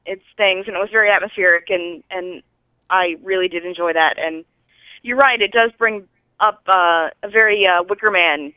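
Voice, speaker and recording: A woman's voice: lowish voice, moderate pace, smooth, no echo. Woman caller on a tinny phone line. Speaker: caller to a podcast. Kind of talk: casual movie feedback message. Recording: thin, band-limited telephone line.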